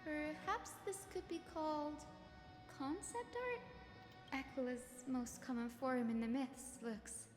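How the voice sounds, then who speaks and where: Gentle, echoing fantasy game heroine. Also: female voice, high voice. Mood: reflective, gentle. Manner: measured, reflective. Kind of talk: scripted game dialogue. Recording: echoey hall-like reverb. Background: game music.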